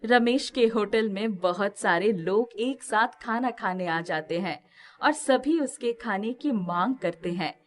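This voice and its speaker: Slow-paced woman narrating a story. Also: mid-range voice. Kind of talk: scripted moral-story narration.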